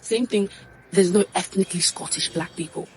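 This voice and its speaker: A woman's voice: fairly low pitch, normal speed, darkish tone, slight background noise. A woman ranting to camera in a blunt, exasperated, scolding way. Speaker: social media commentator. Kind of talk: ranting direct-to-camera video.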